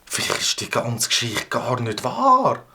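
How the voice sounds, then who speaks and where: An actor voicing an excitable, bossy boy adventurer with a Swiss German accent in a children's audio drama, talking in exclamatory, halting bursts. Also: medium pitch, husky, full character voice. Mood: doubtful.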